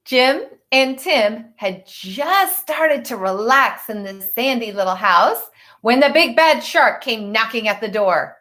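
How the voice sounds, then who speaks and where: A woman reading a picture book aloud to children in an expressive way, with chatty, teacherly asides to her listeners. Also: on the high side, takes it slowly. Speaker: storybook reader for children.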